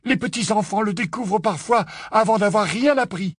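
A calm, reasoning father figure, as a male narrator voices him, his pitch lifted well above the reader's own voice. He sounds steady and reassuring, heard dry and close. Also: moderate pace, husky, no echo.

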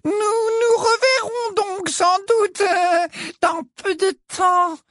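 A man acts out a female inquisitor from a storybook, pitched extremely high and speaking slowly. The tone is piercing and falsely polite, sweet on top with cold menace underneath. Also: steady tone, fairly bright tone, no echo, clean studio sound, full character voice. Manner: slow, falsely polite, condescending.

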